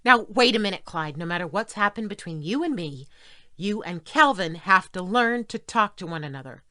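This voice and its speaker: A sharp-voiced exasperated mother. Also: mid-range voice, expressive, normal speed, no echo, studio quality. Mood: firm, exasperated. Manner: scolding, firm, coaxing. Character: exasperated middle-aged mother, firm, fussing peacemaker. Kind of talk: audio play acting.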